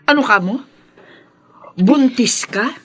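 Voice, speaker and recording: Animated, blunt scheming madam. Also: female voice, on the high side, slow pace, husky, faint music behind, heavily acted. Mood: scolding, surprised. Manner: blunt, scolding, persuasive. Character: brisk, scheming older madam, blunt and unsentimental. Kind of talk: Filipino radio soap opera acting.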